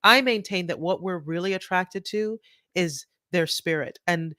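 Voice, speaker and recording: A middle-aged woman from Trinidad, a guest author talking in an animated way with many restarts and "right?" tags. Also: fairly low pitch, measured pace, no echo, clean studio sound. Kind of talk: conversational interview.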